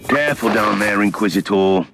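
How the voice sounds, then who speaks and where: An actor playing a sly, smooth-talking inquisitor ally in a sci-fi video game, wry and confiding in manner. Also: male voice, fairly low pitch, brisk, a bit bright, faint music behind. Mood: cautioning. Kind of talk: dramatic video game dialogue.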